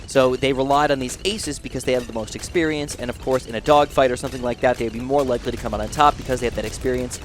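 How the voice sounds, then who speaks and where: A young man with a nasal, youthful-sounding voice thinks out loud as he plays, rambling and then bursting into excited exclamations. His pitch sits in an average range. A faint hiss of noise runs under the recording. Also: fast, hardly any pauses. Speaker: gaming channel host. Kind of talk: casual gameplay commentary.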